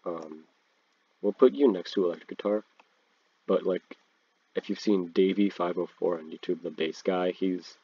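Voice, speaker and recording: A man's voice: low-pitched, normal speed, pauses often, breathy edge. A young man with a congested cold, talking in a laid-back, slangy way while commenting over a screen recording. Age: teens. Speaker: video creator ranking instruments. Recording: dull, lo-fi, dry sound.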